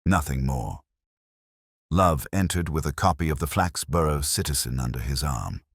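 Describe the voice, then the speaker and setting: Very deep-voiced male mystery narrator. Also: animated, husky, dry recording, studio quality. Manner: measured, literary storytelling. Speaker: story narrator. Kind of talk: scripted narration of a detective mystery.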